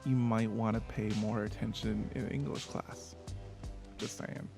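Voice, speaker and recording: A man's voice: on the low side, steady tone, unhurried, creaky, music in the background. A man with a slight lisp hosting a rambling, self-mocking rant about viewer comments, full of dry sarcasm. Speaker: YouTube host.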